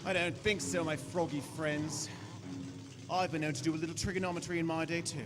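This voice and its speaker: A man's voice: on the high side, level tone, fairly quick, over loud music, close to an everyday voice. A man playing an eccentric, playful alien Doctor in a jaunty, theatrical way in a staged sci-fi radio play. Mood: bold, jaunty.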